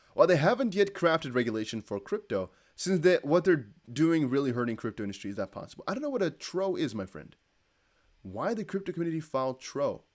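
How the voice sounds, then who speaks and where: A young man whose first language is Dutch, rambling casually on a livestream and reading viewer comments aloud. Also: medium pitch. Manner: rambling, self-correcting, chatty. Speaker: crypto livestream host.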